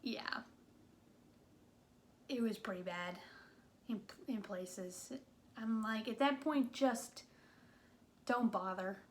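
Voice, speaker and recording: Chatty wry woman reviewing a movie. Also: fairly high pitch. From New England. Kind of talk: casual video review.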